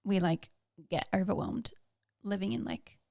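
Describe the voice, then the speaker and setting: Chatty young woman with a gravelly edge. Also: mid-range voice, steady tone, unhurried, a little mellow, no room sound, clean studio sound. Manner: bubbly, chatty, talks over partner. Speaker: podcast co-host. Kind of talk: casual chat.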